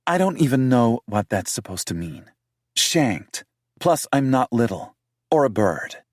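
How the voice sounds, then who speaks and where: A sharp-tongued young woman snaps back in a lifted, strained voice, as a man puts her on for an audiobook. Her tone swings widely with a husky grain. The recording is close and dry. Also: medium pitch, very animated, a little slow, no room sound, pitched above the performer's own voice. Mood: prickly. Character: young woman, prickly and defensive, quick to snap. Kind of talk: audiobook character voice.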